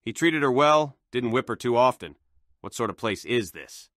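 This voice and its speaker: A man's voice: mid-range voice, animated, normal speed, fairly bright tone, no room sound, clean studio sound, close to an everyday voice. A man playing a wry army vehicle sergeant in an audiobook drama.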